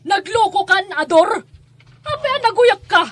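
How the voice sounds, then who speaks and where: A woman playing a sharp-tongued, jealous, domineering wife and stepmother in an Ilocano radio drama, scolding and curt. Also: extremely high pitch, lively intonation, unhurried, no echo, heavily acted. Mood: curt, hostile.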